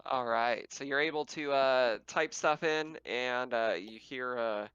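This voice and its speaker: A man acting as game master, narrating and refereeing a tabletop roleplaying game in halting phrases. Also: medium pitch, a bit bright, smooth, no echo, lo-fi sound.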